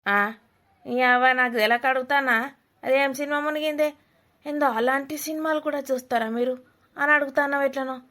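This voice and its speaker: A woman's voice: high voice, fairly even intonation, a little fast, pushed and bright. A woman playing a blunt, nosy older village mother-in-law with a Telangana Telugu accent, scolding and mocking in a comedy cartoon.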